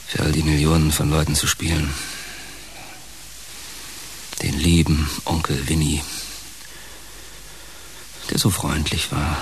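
An actor in a German radio play, playing a bitter, heartbroken fallen entertainer with a gravelly voice and a halting, dramatic delivery. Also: male voice, a bass voice, a bit bright, full character voice. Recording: clear background hiss.

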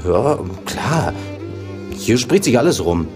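An actor playing a wary, street-wise police informant in a German radio crime drama, evasive and colloquial. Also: male voice, mid-range voice, big pitch swings, takes it slowly, over music.

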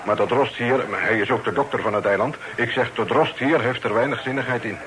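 An actor playing a plain-spoken, hospitable island innkeeper with a folksy manner in a radio drama. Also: male voice, mid-range voice, fairly quick, a crowd in the background, lightly acted. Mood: grumbling, matter-of-fact.

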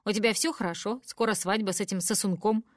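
A woman's voice: steady tone, fast, no echo, lightly acted. A woman voicing a furious, cruel, drinking middle-aged mother who screams at her daughter, in a dry, close recording. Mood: scornful. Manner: shrill screaming, venomous.

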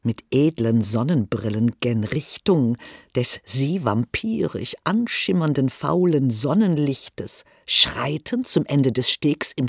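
A narrator performing a scripted literary monologue in a theatrical, expressive manner. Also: medium pitch, vocal fry, husky, no room sound, clean studio sound.

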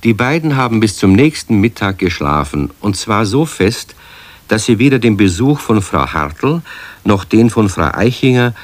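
An actor playing a calm storyteller, reading scripted narration for a children's radio play. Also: male voice, sits low, dry recording.

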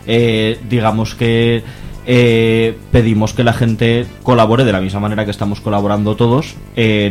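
A man's voice: fairly low pitch, darkish tone. A man with an accent from Spain explaining things as an activist guest in a radio interview, in a hesitant, careful way. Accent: Spanish from Spain.

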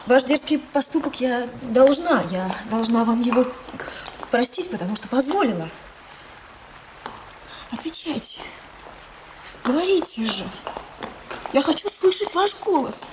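An actress playing a passionate, anguished young princess in love, agitated and pleading, in a theatrical radio play. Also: female voice, high voice, animated, a little slow, long pauses, background noise.

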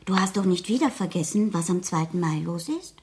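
A woman's voice: lowish voice, animated, brisk, a little mellow. An actress playing a cheeky, outspoken fifteen-year-old schoolgirl in a radio drama, with a teasing, blunt manner. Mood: pert, teasing. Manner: pert, teasing, girlishly blunt. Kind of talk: 1970s German radio play acting.

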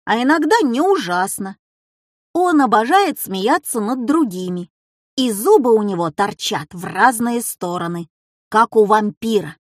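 A woman's voice: a little high, animated, unhurried, no echo, clean studio sound. A woman voicing a mischievous, self-assured schoolboy in a children's story, with a cheeky theatrical delivery. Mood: mocking.

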